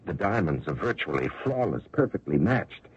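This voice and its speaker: A muffled old radio drama recording carries a meek male office clerk. His voice is very husky and low, and he hesitates and stammers, always dutiful but quietly put-upon. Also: sing-song, normal speed, full character voice. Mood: flustered, wary.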